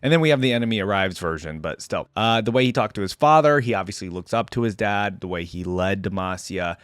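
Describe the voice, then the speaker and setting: A man's voice: fairly low pitch, animated, quick pace, a bit bright, clear, resonant tone, dry recording, clean studio sound. A man hosting a game reaction video, commenting casually and thinking aloud in an informal, conversational way.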